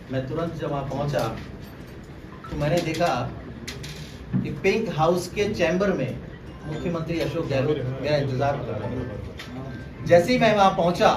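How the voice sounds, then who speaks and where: A man from Rajasthan, a former political aide, making a deliberate, emphatic press conference statement and repeating phrases for stress. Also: sits high. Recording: distant mic, echoey room, clearly noisy.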